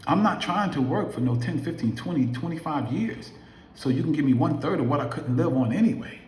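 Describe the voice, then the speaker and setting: A man's voice: a little high, expressive, a little fast, a little mellow, a little hiss or hum. A man in his late fifties giving an emphatic motivational talk to camera, asking his viewers many rhetorical questions. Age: 50s. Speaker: affiliate marketer vlogger. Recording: echoey room.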